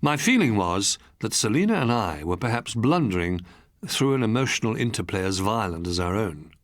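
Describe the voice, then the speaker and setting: Expressive male storyteller with lively intonation. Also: lowish voice, no echo, studio quality. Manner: measured, expressive, literary delivery. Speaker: audiobook narrator. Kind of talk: scripted first-person story narration.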